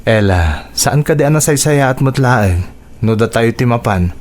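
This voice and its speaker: A man's voice: mid-range voice, lively intonation, moderate pace, a little mellow, natural voice. A man voicing a humble young husband who works as a tricycle driver, talking in a plain, easygoing way in a radio drama.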